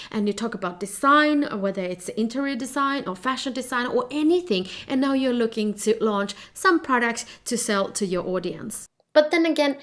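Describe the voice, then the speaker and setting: A woman with a Finnish accent talks through a business workshop in a clear, steady way. Her voice sits a little higher than most women's. She sounds like a presenter in her thirties. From Finland.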